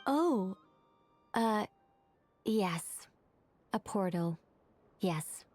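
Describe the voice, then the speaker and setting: An actress playing an ageless angel mage in an anime game, wordy and formal, given to rambling and correcting herself. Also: female voice, medium pitch, animated, very slow, a little mellow, soft background music. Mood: flustered. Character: Nicole, ageless angel mage, rambling, scholarly, easily flustered. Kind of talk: anime game character acting, expository dialogue.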